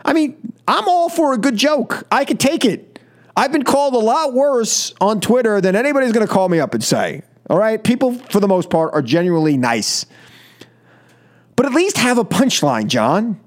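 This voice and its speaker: High-pitched man hosting talk radio. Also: big pitch swings, moderate pace. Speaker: radio talk show host. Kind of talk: live political call-in talk radio. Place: radio studio.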